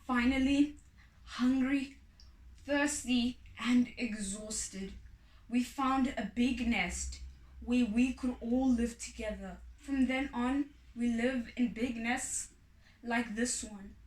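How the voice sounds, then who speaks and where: A woman's voice: a little high, takes it slowly, a little mellow. A woman reading a folk tale aloud as a storyteller. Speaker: story reader. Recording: echoey room, distant from mic.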